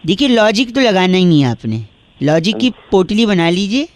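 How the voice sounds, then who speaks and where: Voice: mid-range voice, very animated, moderate pace, dry recording. A radio comedy host making prank phone calls, telling rambling mock-earnest stories with great persistence. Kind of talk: comic prank phone call patter.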